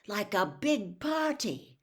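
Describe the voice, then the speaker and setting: A blunt country campsite manager from a storybook, played by a woman reader. He talks in clipped, gruff phrases and sounds suspicious of every stranger who turns up. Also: mid-range voice, expressive, slow pace.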